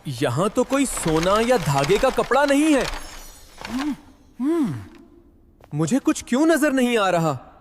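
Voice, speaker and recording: A man playing a flustered, flattering royal courtier in a dramatised fairy tale, hesitant in his delivery. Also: extremely high pitch, unhurried, pauses often, slight background noise, full character voice. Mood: puzzled.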